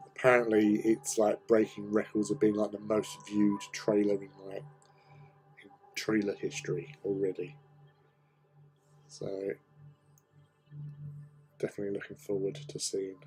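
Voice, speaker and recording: A man with a croaky, cold-roughened voice hosts a laid-back hobby stream, talking slowly with lots of ums and trailing pauses. His voice sits low and mellow. Faint music plays behind him. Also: monotone, rough recording. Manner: rambling, hesitant, many ums. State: hoarse from a cold. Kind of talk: casual chat while painting.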